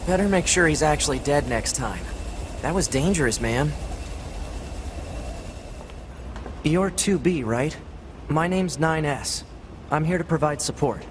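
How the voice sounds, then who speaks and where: A man's voice: a little high, animated, normal speed, noisy background, natural voice. An actor playing an eager, friendly young male android scout in a video game, chatty and informal. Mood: breezy, helpful. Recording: loud music behind the voice.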